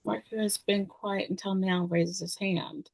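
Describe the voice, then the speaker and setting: A woman's voice: mid-range voice, steady tone, a little slow, creaky, no echo, low-quality recording. Woman narrating in a dry, close sound. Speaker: narrator reading stage directions. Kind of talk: scripted narration at a screenplay table read.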